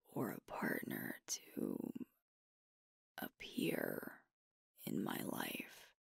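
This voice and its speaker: Breathy, whispering werewolf girlfriend played by a woman. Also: rumbling low, dry recording, studio quality, heavily acted. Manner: slow, tender, hushed delivery with pauses. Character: sweet, devoted young female alpha werewolf girlfriend. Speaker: ASMR roleplay performer. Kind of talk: intimate ASMR girlfriend roleplay. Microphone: close microphone, dry.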